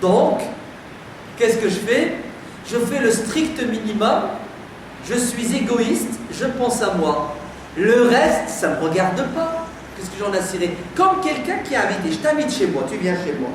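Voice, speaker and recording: A man's voice: high-pitched, very animated, measured pace. A man from France giving a sermon to a live audience, firing emphatic rhetorical questions at the crowd in a conversational way. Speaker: preacher addressing a community audience. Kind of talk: lively lecture to a live audience. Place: community centre hall. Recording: very echoey hall, distant, noisy.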